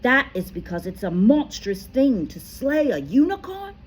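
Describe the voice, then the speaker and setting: A woman's voice: on the high side, very animated, measured pace, husky, voice pushed up, heavily acted. A woman reading aloud voices a noble male centaur who speaks solemnly and earnestly.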